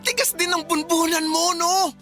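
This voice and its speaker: A woman's voice: very high voice, little pitch movement, moderate pace, pushed and bright. A woman voicing a defensive, hot-tempered young husband in a radio drama, with faint music behind. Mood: exasperated. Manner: defensive, exasperated.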